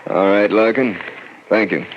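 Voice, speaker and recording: An actor playing a blunt army colonel who talks in a hesitant, matter-of-fact way. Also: male voice, on the low side, a little slow, very noisy, natural voice. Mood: grim. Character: blunt middle-aged male army colonel. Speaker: colonel at the funeral parlor. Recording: dull distant radio recording.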